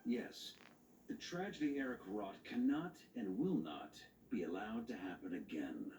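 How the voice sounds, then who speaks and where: An actor playing a dutiful, calm knight commander in a game, speaking in measured, formal, solemn exposition. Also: male voice, on the low side, reverberant, recorded from a distance, a little hiss or hum, poor audio.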